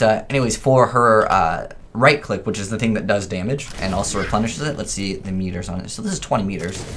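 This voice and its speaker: Male gaming commentator, lowish pitch, casual and unscripted, thinks out loud, noisy background. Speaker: gaming video creator. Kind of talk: casual off-the-cuff gameplay commentary.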